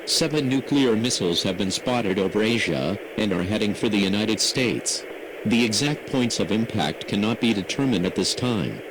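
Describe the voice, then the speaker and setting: A man reading a scripted emergency broadcast announcement in a formal, measured, impersonal manner. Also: on the low side, lively intonation. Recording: noisy emergency radio broadcast audio.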